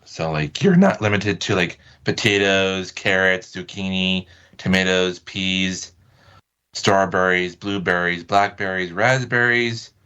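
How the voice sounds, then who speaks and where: A man's voice: low-pitched, takes it slowly, pushed and bright, clear, resonant tone. An American man chatting casually as a podcast guest, wording his points loosely and tentatively. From United States. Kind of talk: casual interview chat.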